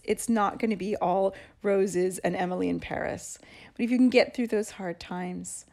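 A woman's voice: medium pitch, a little mellow. A woman podcast host telling her own stories in a casual, chatty way, often laughing as she talks. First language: English. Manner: chatty, laughing through anecdotes. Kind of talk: casual storytelling monologue.

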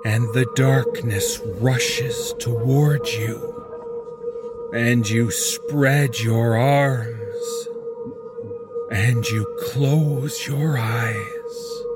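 Casting: male storyteller for horror fiction, very slow, intense, deliberate delivery over prominent music. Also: medium pitch. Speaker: story narrator. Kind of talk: dramatic scripted horror narration. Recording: prominent music bed behind the voice.

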